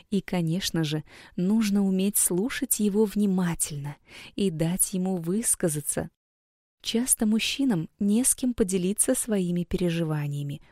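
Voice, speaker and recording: A woman with a mellow, warm voice gives a scripted lesson and speaks to her listener in a soft, coaxing way. Her recording is close and dry, with no room sound at all. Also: mid-range voice, no room sound. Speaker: women's school coach. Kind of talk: scripted instructional narration.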